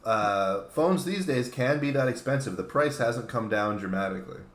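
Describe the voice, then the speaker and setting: A man's voice: mid-range voice, lively intonation, normal speed. A man from Canada co-hosting a casual talk show, chatting informally. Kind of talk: casual chat. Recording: echoey room sound.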